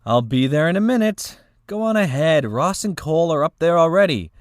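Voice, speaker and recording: A man's voice: on the high side, lively intonation, fairly quick, no echo, clean studio sound, natural voice. An actor playing a smug male coworker who likes to needle people, in an audio drama. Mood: smug teasing. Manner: teasing, smug.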